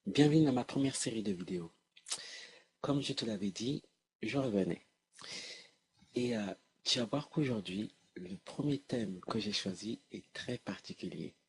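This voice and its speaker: A man's voice: lowish voice, expressive, unhurried, slight background noise. A man hosting an educational video, explaining informally to the viewer in a hesitant way, often repeating and correcting himself. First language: French. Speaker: host of an educational video series.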